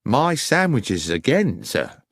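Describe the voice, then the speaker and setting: A man's voice: medium pitch, wildly swooping pitch, slow pace, no echo, clean studio sound, pitched above the performer's own voice, exaggerated voice. A man voicing a weary railway guard, deferential yet protesting, in a storybook. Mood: defensive.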